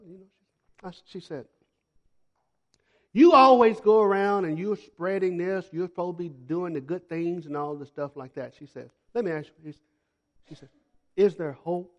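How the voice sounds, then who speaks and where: A man preaching a sermon as a missionary, telling folksy travel stories, repeating phrases for emphasis and swinging from loud to hushed. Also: high voice, lively intonation, normal speed, pauses often, highs cut off. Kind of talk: sermon with storytelling.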